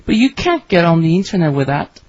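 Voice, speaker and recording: A woman reading her part in a scripted English conversation for learners, with clear, careful delivery. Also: lowish voice, sing-song, a little fast, darkish tone, no echo. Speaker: dialogue reader for English learners. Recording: dry, slightly dull studio recording.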